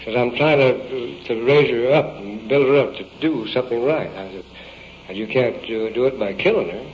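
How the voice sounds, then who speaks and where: Male witness, medium pitch, halting and folksy in phrasing, on a dull old field recording. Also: slight background noise. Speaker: witness giving police statement. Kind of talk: unscripted, halting recollection.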